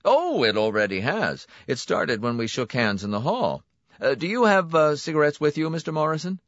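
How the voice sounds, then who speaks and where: A man voicing a smooth, smiling, menacing clinic director who relishes his words. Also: on the high side, sing-song, normal speed, a bit bright, dry recording, muffled recording, voice pushed up. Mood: smooth, cordial. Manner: silky, pointed, relishing emphasis.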